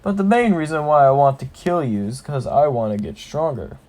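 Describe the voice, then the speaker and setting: A man's voice: a little high, sing-song, a little mellow. A huge, bloodthirsty orc shaman boss, acted out by a man storyteller. He taunts and mocks with arrogant relish, in the manner of a fantasy story villain. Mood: mocking, menacing. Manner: taunting, with drawn-out villainous laughs.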